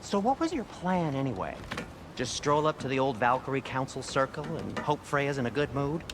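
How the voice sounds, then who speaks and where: Fretful, sarcastic game dwarf, very animated. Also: male voice, mid-range voice, normal speed, background noise. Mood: exasperated. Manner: nervous, dryly sarcastic. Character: anxious, fussy, sarcastic male dwarf companion. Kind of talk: video game character acting. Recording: loud game ambience behind.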